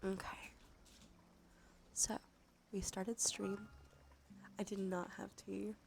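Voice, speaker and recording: A woman hosting a casual ASMR livestream, chatting softly and narrating what she does, with a breathy voice that often slips into a whisper. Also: medium pitch, little pitch movement, slow, slight background noise. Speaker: livestream host. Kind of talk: casual ASMR stream chat. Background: background music.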